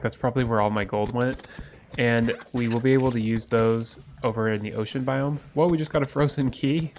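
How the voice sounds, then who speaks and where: A man's voice: medium pitch, a little hiss or hum. Congested man doing upbeat game commentary. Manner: upbeat, excited at loot. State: congested from a cold. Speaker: gaming YouTuber. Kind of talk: let's play commentary.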